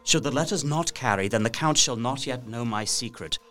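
An actor playing an anxious, resourceful young captive gentleman, narrating his journal carefully and earnestly in an audio drama. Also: medium pitch, moderate pace, lightly acted. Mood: guarded, determined. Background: music.

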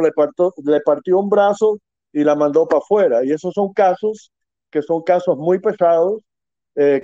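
Middle-aged male guest, mellow voice, dull dry call line, rambling delivery. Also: sits high, no room sound. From Quebec, Canada, raised in Miami. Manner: rambling, restarts, mixes in English words. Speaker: child rescue investigator, guest. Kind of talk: casual interview talk. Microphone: phone or call line. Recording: dull lo-fi sound.

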